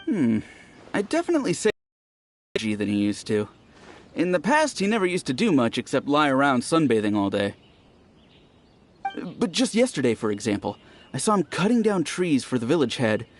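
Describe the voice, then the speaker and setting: An actor playing a chatty male villager who tells stories in an animated way in a video game. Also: medium pitch, normal speed, pauses often. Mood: gossipy. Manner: storytelling, animated. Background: game music.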